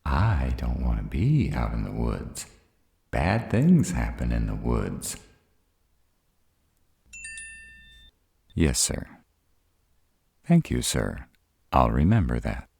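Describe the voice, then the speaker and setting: Guy doing a snarky young vampire for a roleplay audio, low gravelly voice, polite but kinda defensive. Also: wildly swooping pitch, slow, a little mellow, dry recording, clean studio sound, exaggerated voice. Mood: nervous, polite. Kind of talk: roleplay audio drama acting.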